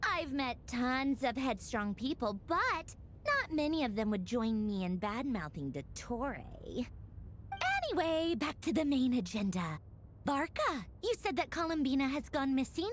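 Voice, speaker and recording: High-voiced, sharp-tongued villainous officer. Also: female voice, sing-song, unhurried, a little hiss or hum. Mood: matter-of-fact. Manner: sardonic, dramatic, emphatic. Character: sharp-tongued, exasperated female harbinger with long-held grudges. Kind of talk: anime video game dialogue.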